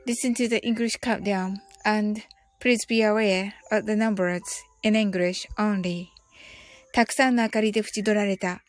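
A woman hosting a guided meditation, speaking in a calm, soothing and deliberate manner. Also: mid-range voice. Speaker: host of a mindfulness and English audio show. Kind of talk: guided meditation in two languages, slow and measured. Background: background music.

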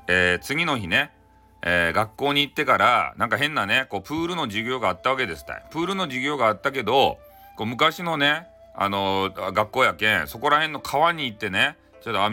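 A man's voice: mid-range voice, very animated, a little fast, sharp tone. A man with a Hakata accent, chattily retelling a folktale in an informal, humorous way. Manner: chatty, many tag particles. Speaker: storyteller. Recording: music bed behind voice. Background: music.

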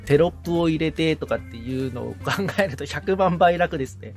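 A man's voice: fairly high pitch, lively intonation, a little fast, faint music behind. A man who is a novice podcaster, chatting casually and candidly as the assistant on a show. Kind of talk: casual chat.

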